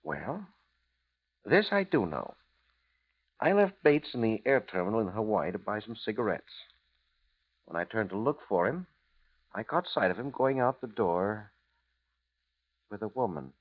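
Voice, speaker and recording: Knowing blackmailer, American, insinuating and hesitant, very varied intonation, lo-fi 1950s radio. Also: male voice, lowish voice, big pitch swings, unhurried, long pauses. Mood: probing. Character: sly, insinuating male blackmailer, coolly needling. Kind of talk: 1950s radio drama acting. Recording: dull, lo-fi 1950s radio recording.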